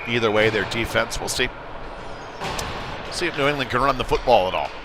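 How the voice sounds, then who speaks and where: A man's voice: mid-range voice, a little fast. Man calling live hockey over venue noise. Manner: conversational, rising to excitement with the play. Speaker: youth hockey play-by-play commentator. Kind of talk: live sports commentary with casual asides.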